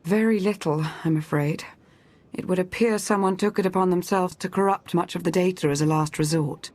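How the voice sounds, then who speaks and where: An actress playing a composed intelligence chief in a video game, speaking formally and in a measured, articulate way. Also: female voice, on the low side, fairly even intonation, a little mellow, lightly acted. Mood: measured, serious.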